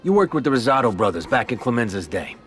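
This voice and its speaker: An actor playing a tough, vengeful mob boss in a video game, speaking bluntly and tersely. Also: male voice, medium pitch, very animated, fast, fairly bright tone, soft background music. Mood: guarded. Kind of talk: video game crime drama acting.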